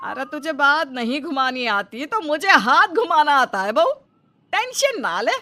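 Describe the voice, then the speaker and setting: A scolding middle-aged mother-in-law, played by a woman in a comic cartoon tale. Her voice is high and forceful, swinging dramatically with sarcasm and indignation. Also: sing-song, unhurried, heavily acted. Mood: threatening, sarcastic. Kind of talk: acted comic cartoon story dialogue.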